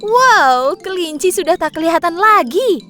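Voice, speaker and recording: High, lively woman storyteller. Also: unhurried. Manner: expressive, sing-song storytelling. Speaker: narrator. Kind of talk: scripted storybook narration for children. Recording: faint music behind. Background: faint background music.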